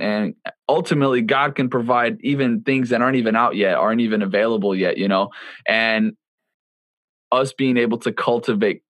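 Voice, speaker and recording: A man's voice: on the low side, a bit bright, dry recording. A young adult man hosting a podcast, chatty and laid-back, using lots of casual slang and fillers. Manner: laid-back, chatty, many fillers like "bro" and "you know". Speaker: podcast host.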